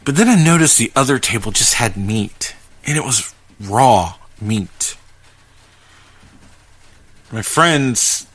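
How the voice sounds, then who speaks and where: A man's voice: medium pitch, animated, takes it slowly, long pauses, a bit bright. Man telling a first-person horror story. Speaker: podcast host reading a horror story. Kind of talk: first-person creepypasta narration.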